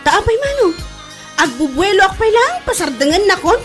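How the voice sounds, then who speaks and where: Woman acting a teasing, high-voiced female street sweeper. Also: sing-song, normal speed, fairly bright tone, faint music behind, exaggerated voice. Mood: stubborn, indignant. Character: teasing, stubborn young female street sweeper who loves singing. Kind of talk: Ilocano comedy drama acting.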